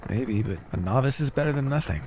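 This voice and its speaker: A man playing a kindly, encouraging necromancer in an ASMR fantasy roleplay, speaking in a gentle, reassuring, conversational way. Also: mid-range voice, expressive, fast, noisy background, lightly acted. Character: kindly, encouraging necromancer master, earnest and casual.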